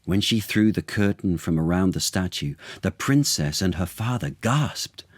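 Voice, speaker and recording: Male actor for children's storytime: deep register, unhurried theatrical delivery, very dry sound. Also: animated, no room sound. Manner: theatrical, unhurried, with dramatic pauses. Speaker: actor reading aloud as storyteller. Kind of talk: expressive children's storytime narration of a literary tale.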